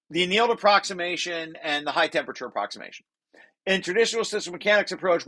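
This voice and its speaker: A man with a medium-pitched voice walks through technical writing as a presenter. He moves between reading aloud and informal, halting explanation, often restarting his phrases. The sound is dry, with very little room around it. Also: fairly bright tone, no room sound. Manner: informal, halting asides with repeated restarts between read passages. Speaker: presenter reading and explaining a research paper draft.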